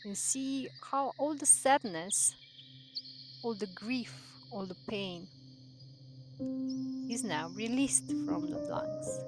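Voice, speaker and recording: Woman doing guided meditation, really slow and gentle, long pauses, music clearly playing behind her. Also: on the high side, lively intonation. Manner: soothing, gentle, drawn-out phrases with long pauses. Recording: voice over prominent background music.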